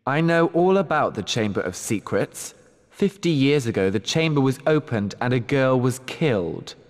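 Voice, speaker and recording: A man's voice: mid-range voice, lively intonation, normal speed, close to an everyday voice. Man voicing grave, reverberant magical diary. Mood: ominous. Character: mysterious diary of a schoolboy, grave and confiding. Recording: long hall reverb.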